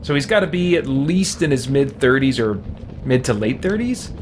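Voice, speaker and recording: A man's voice: medium pitch, very animated, moderate pace, slight background noise. A middle-aged man hosting a game live stream, chatting casually and thinking aloud in an easygoing, rambling way.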